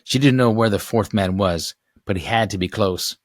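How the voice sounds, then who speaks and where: Low-voiced man narrating a storybook. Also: moderate pace, no room sound, clean studio sound. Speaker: author reading own book aloud.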